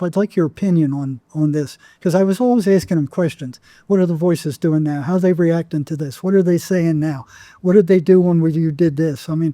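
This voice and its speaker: A man's voice: on the high side, dark tone, no echo. A man telling stories as an interview guest in a conversational, rambling way, often acting out quoted dialogue. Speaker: podcast guest, psychotherapist.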